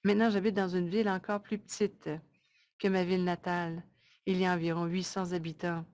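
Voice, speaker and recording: Woman interpreting, halting phrases. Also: on the low side, little pitch movement, a little mellow. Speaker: interpreter for a webinar. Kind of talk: live interpretation of a presentation.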